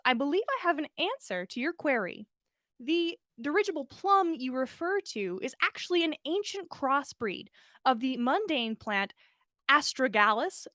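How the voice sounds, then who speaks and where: A man's voice: extremely high pitch, pushed and bright, no echo, studio quality, heavily acted. A woman voicing an earnest, absent-minded male plant expert in a story, with formal, wordy, polite speech.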